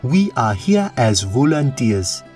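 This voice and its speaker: A man's voice: medium pitch, sing-song, slow pace, darkish tone, faint music behind. Proud courtly prince with a swooping voice, acted by a man. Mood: questioning. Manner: formal, courtly phrasing without casual slang. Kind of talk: audio drama fantasy acting.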